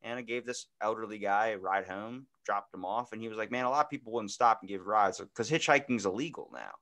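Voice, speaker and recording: A man in his twenties chatting casually as a podcast host, telling stories in an animated, slangy way with frequent swearing. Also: lowish voice, a little fast. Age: 20s. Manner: animated, profane, slangy storyteller. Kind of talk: casual chat, storytelling. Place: indoor room, podcast video call.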